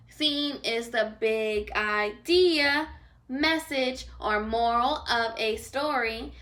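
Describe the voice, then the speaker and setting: A woman teacher reading aloud to young children, expressive and speaking to her pupils directly. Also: sits high. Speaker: first grade teacher.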